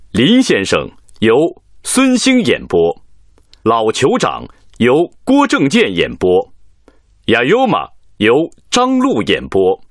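A man's voice: mid-range voice, fairly bright tone, dry recording. A man reading credits as a radio announcer, in a formal scripted style on a close studio mic.

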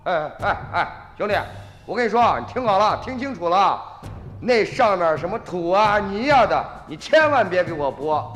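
High-pitched pushy scrap dealer. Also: male voice, sing-song, moderate pace, fairly bright tone, big-room sound, soft background music. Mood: urgent. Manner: insistent, repeats himself, urging. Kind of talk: Chinese radio drama acting.

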